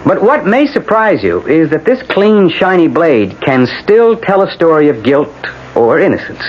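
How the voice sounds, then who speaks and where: An actor playing an insistent defense attorney in a radio drama, speaking in a dramatic, rhetorical, emphatic way. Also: male voice, a little high, very animated, a little slow. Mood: grave, theatrical. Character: theatrical, insistent male defense attorney addressing a court. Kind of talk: 1970s-80s radio drama acting. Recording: dull, hissy old radio broadcast.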